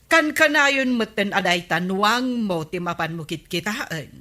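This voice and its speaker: A woman with a Filipino accent playing a village captain's affectionate but nagging wife in a radio comedy drama. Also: medium pitch. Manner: drawn-out, expressive.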